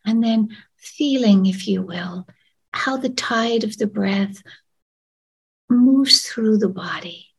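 A woman guides a meditation very slowly and gently. Her voice is soft, breathy and husky, with a creaky fry at the edges. She often lets phrases fade toward a soothing whisper. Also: medium pitch, low-quality recording.

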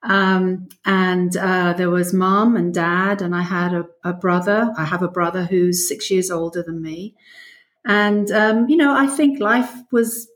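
Woman, sixties, English accent, slightly low voice, podcast guest, conversational personal storytelling. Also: steady tone, measured pace. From London, England. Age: 60s.

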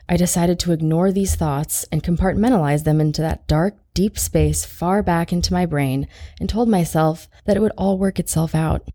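A woman narrates a first-person short story in a low, mellow voice. Her scripted delivery is wry and confiding, with a dark, relaxed tone.

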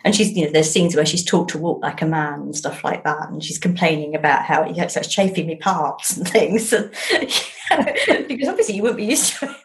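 A woman's voice: sits low, expressive, fairly quick. A middle-aged woman with an English accent, talking casually and enthusiastically as an interview guest, with emphatic turns of phrase. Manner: chatty and enthusiastic, piling on emphatic words. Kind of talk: casual, jolly conversation.

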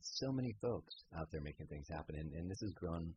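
A man's voice: low voice, animated, fairly quick, darkish tone, husky, dull audio. An American man hosting a casual radio interview in an informal, encouraging manner. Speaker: interviewer, host.

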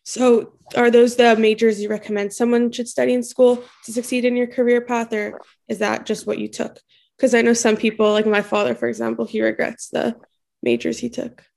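A woman's voice: on the high side, level tone, fairly quick, vocal fry, darkish tone. A woman interviewing a guest with short, direct questions.

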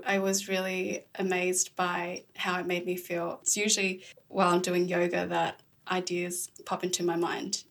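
An earnest young woman from Australia answers interview questions in a casual, reflective way. Her voice sits low and has a gravelly fry, and she talks openly and sincerely. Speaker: podcast host, interviewed as guest.

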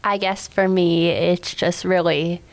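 A woman's voice: on the low side, steady tone, takes it slowly, vocal fry. A teenage girl answering as a panelist in a casual discussion. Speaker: teen book club panelist.

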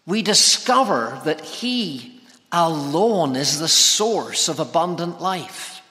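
A man preaches in a forceful, bright voice pitched a little high for a male speaker. He delivers his sermon in measured, deliberate phrases with pauses between them. The long reverb of a big church hall trails his words. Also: very animated. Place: large church interior, preaching to congregation.

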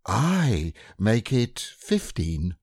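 A man voicing a slow, humble, simple-minded male bear who speaks in a mild, earnest way in an audiobook reading. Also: lowish voice, big pitch swings, a little mellow, husky, no echo, clean studio sound, pitched above the performer's own voice, heavily acted.

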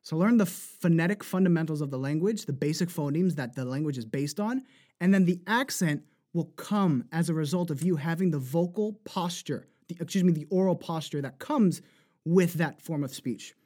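A man with a New Jersey accent, talking as an animated voice actor guest who laughs often and slips into accent demos. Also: on the high side, moderate pace. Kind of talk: casual interview chat.

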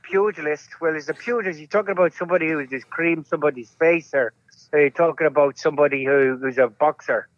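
A man's voice: on the high side, no echo, muffled recording, lightly acted. A man playing a bitter, hard-drinking Irish priest, with an Irish accent, who stammers and answers bluntly. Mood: musing. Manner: halting, stammering, blunt and gruff. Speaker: guest on a call-in show. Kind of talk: comedy character bit.

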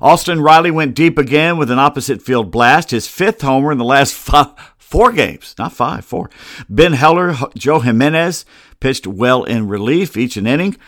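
A man's voice: mid-range voice, expressive, normal speed, a bit bright, no echo. Dry-sounding American man hosting sports talk. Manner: grumbling, wry, rambling asides. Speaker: sports podcast host. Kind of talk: casual solo sports commentary. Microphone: close computer microphone.

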